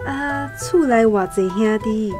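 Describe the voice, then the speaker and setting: Homely, plain-spoken village mother, high voice, Taiwanese accent, radio play over soft music. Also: slow pace. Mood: curious, agreeable. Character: plain-spoken country mother seeking a match for her children. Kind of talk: radio drama acting. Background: instrumental music.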